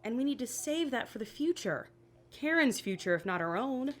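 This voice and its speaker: An actress playing a practical, exasperated wife with a dry, pointed way of talking in an audio drama. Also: female voice, sits high, very animated, normal speed. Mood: sharp, dry. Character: practical, sharp-tongued, exasperated wife of a magician.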